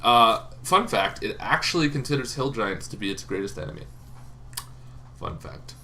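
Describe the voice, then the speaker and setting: A man hosting a tabletop gaming channel, explaining casually off the cuff in an informal, halting way, as if thinking aloud. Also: fairly low pitch, expressive, gravelly, sharp tone. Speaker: host of a tabletop roleplaying lore channel. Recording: noticeable background noise.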